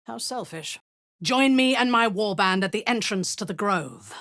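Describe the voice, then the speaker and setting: A woman's voice: mid-range voice, animated, normal speed, a bit bright, dry recording, clean studio sound. A woman playing a cruel, zealous drow war commander in a fantasy video game, commanding and full of haughty contempt.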